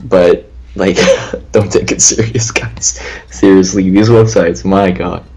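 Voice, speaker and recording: Young guy streamer, rambly, kinda raspy low voice, casual chat. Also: steady tone, takes it slowly, a little mellow, low-quality recording. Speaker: co-host of a lucid dreaming stream. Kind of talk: casual livestream chat, reading viewer questions.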